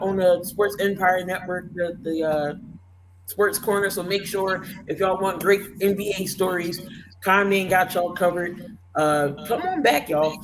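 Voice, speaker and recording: A male sports talk host with a hoarse, worn-out voice, casually wrapping up the show with loose, rambling plugs. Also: sits high, background noise.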